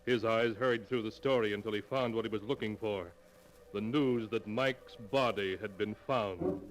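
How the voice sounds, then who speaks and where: A man narrating an old-time radio mystery in a measured, suspenseful delivery. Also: on the low side, rough recording. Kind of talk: scripted dramatic narration.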